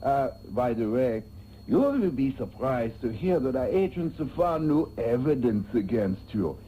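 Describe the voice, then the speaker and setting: Slow, menacing interrogator. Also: male voice, mid-range voice, very animated. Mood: smug, threatening. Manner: theatrical, drawn-out, coaxing threats. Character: menacing, suave male interrogator with mock-polite patience. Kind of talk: satirical radio soap opera acting. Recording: muffled, noisy old radio tape.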